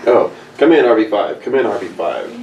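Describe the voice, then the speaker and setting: A man's voice: lowish voice, lively intonation, normal speed, a little mellow, dull audio, slight background noise. Man reading brusque space captain, roomy and distant. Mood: businesslike. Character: gruff, bossy male space ranger captain of a rocket ship. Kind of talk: amateur script table read of retro space serial. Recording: roomy, distant mic, group in background.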